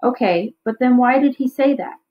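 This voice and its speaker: A woman's voice: sits high, normal speed, a little hiss or hum, voice pushed up, lightly acted. A woman voicing an earnest, questioning young schoolgirl in a storybook. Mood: puzzled. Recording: slightly dull, lo-fi recording.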